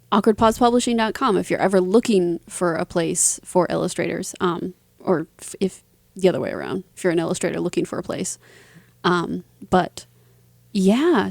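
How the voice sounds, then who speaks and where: A woman's voice: mid-range voice, vocal fry, darkish tone, dry recording. A woman speaking casually as an interview guest, with informal phrasing and emphatic words. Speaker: interview guest, writer and performer.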